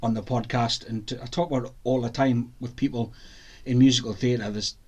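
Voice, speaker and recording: Husky-voiced man hosting a podcast. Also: medium pitch, steady tone, fairly quick, a little mellow. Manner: enthusiastic, rambling with restarts. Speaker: podcast host. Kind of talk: casual interview chat. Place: podcast studio.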